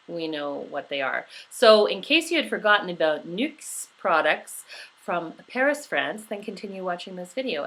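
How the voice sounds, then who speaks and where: A middle-aged woman from Western Canada chatting warmly about skincare products, gushing, with many fillers and restarts. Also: fairly low pitch, a little hiss or hum, poor audio. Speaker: beauty vlogger. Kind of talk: casual product review.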